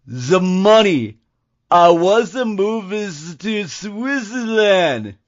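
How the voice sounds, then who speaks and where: Audio drama role: wasted, confused guy, slurred and raised in pitch; muffled recording. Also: very animated, drawn-out words, full character voice. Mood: slurred, agitated. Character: stoned, slurring man, barely coherent, bewildered.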